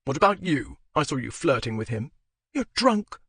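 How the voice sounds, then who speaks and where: A reader playing an angry husband, coarse, blustering, cursing, lively delivery. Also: medium pitch, a little fast, slightly rough, dry recording. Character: drunken angry young husband.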